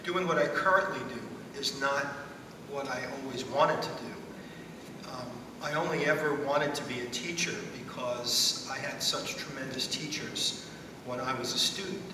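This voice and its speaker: A middle-aged man talking reflectively as an educator on a panel. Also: normal speed. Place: school theater stage, seated at panel table. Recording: echoey hall, distant mic, noisy rumble.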